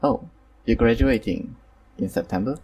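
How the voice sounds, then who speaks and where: A man's voice: medium pitch, moderate pace, a little mellow, breathy edge, far from the mic, rough recording. A man hosting a casual online group chat, talking hesitantly with many fillers and apologies. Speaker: host.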